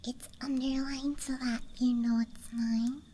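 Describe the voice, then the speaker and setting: A young woman doing unscripted ASMR in a soft, breathy whisper, with a gentle, playful, unhurried manner. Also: high voice, a little hiss or hum. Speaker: ASMR streamer. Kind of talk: ASMR, unscripted show-and-tell. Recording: faint steady outdoor night ambience. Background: night campground ambience track.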